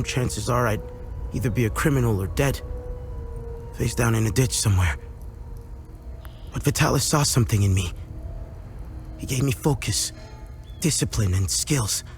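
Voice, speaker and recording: An actor playing a guarded, earnest weapons craftsman in a video game, speaking in a measured, reflective way. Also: mid-range voice, natural voice. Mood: reflective, grateful. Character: guarded, earnest male weapons craftsman, a loyal former apprentice. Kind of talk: video game dialogue acting.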